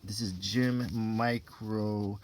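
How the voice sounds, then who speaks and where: A man reading an old printed text aloud in an ASMR style, unhurried and low-key, with casual offhand asides. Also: fairly even intonation, a little mellow, slight background noise.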